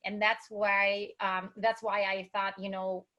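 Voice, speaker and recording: A woman from Russia speaks with a clear Russian accent as a guest on an interview. Her voice sits at a middle pitch, and she talks in an upbeat, motivational way, like a speaker trying to inspire her listeners. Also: flat delivery, takes it slowly, pushed and bright, highs cut off. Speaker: guest, entrepreneur. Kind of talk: interview conversation.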